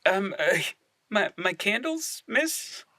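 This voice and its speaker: Timid polite candle maker, slow n stammery, wobbly up and down pitch, audio comedy. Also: male voice, sing-song, sharp tone, dry recording, studio quality, exaggerated voice. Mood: flustered. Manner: stammering, halting, deferential. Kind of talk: comic audio play acting.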